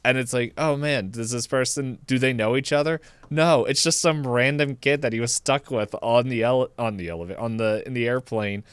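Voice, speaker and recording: A man's voice: mid-range voice, moderate pace, hardly any pauses, smooth, no echo. A man co-hosting a podcast, giving a casual, rambling, comedic movie recap and acting out the characters' lines in his own words. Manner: laughs through the telling.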